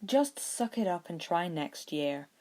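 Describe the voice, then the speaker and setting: A woman's voice: lowish voice, lively intonation, unhurried, warm tone. A woman voicing a patient but mildly exasperated schoolboy wizard with a weary manner, in a storybook reading. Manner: patient, sighing.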